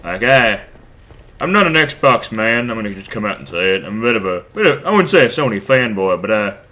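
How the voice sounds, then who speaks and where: A man's voice: fairly low pitch, very animated, fairly quick, pushed and bright. A man commenting casually on his gameplay, rambling, crude and self-deprecating, a bit stuffed up from a cold. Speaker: let's play host.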